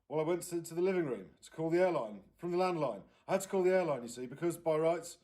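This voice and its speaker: A man plays a distraught aunt in a children's storybook. The voice is lifted well above the reader's usual pitch and comes out louder. The delivery is tearful, halting and emphatic. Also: expressive, natural voice. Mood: distressed. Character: distraught, tearful aunt recounting a missed phone call.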